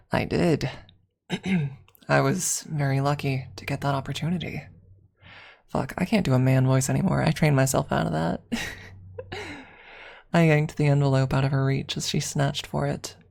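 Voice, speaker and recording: A woman with a creaky voice narrating a scripted story in an ASMR style. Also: very deep voice, darkish tone. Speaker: streamer and story reader.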